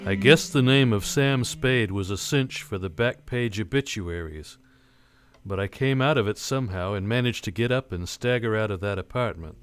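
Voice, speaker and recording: An actor playing a wry, weary private detective in an old-time radio drama, dry and terse. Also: male voice, fairly low pitch, close to an everyday voice. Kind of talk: old-time radio drama, first-person noir narration.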